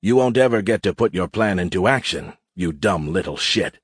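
A hulking alien warrior sergeant played by a male narrator speaks in a lowish register. He is a seasoned storybook fighter, sneering and fearless, taunting with calm control. The recording is dry and close. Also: normal speed, slightly rough, no echo. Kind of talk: audiobook character acting.

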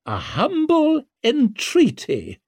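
Man voicing a shrill, imperious aristocratic older mother. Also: big pitch swings, no room sound, clean studio sound, heavily acted. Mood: haughty, threatening. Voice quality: sharp, shrieking edge. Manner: haughty, drawn-out emphatic delivery.

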